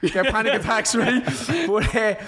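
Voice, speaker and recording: A young Irish man chatting casually as a podcast guest, telling lively slangy stories and laughing often. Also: high-pitched, normal speed. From Ireland. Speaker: podcast guest, professional boxer. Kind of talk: casual chat.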